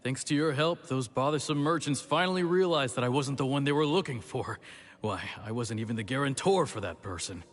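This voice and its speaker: A man's voice: medium pitch, fairly quick, long reverb tail, close to an everyday voice. A man voicing a friendly, chatty, grateful townsman in a video game.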